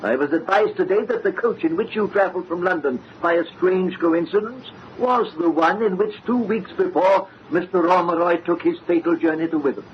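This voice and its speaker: High-pitched actor as a grave radio lawman. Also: normal speed, hardly any pauses, close to an everyday voice. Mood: grave, dismissive. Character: grave, sceptical official man of the law. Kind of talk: old-time radio mystery acting. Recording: muffled, hissy old radio recording.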